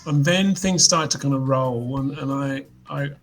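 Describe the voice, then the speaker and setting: A man in his fifties, a musician guest, reflecting on his life in relaxed, hesitant interview talk. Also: mid-range voice, a little slow. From United Kingdom. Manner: reflective storyteller, hesitant restarts. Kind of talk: casual interview chat.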